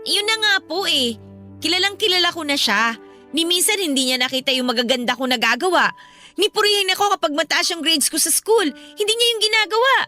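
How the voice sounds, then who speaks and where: Dalagang nagrereklamo, mataas ang boses. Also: female voice, very high voice, animated, fairly quick, pushed and bright, soft background music, heavily acted. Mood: hurt, complaining. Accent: Filipino. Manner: plaintive, complaining. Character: frustrated eighteen-year-old daughter pleading with her mother. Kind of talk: Filipino radio drama acting.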